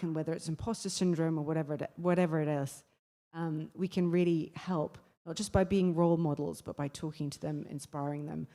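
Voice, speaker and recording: Low-voiced woman in a reverberant hall. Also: mellow. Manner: earnest, repeats words as she starts phrases. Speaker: panelist. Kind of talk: panel discussion remarks.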